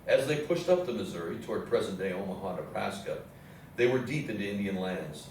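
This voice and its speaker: A man narrates a scripted history play on stage in a fairly low voice. He sounds far from the mic in a strongly reverberant room, with faint noise underneath. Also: lively intonation, a little fast, darkish tone. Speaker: narrator in a readers theatre play. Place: live readers theatre performance in an echoey indoor space.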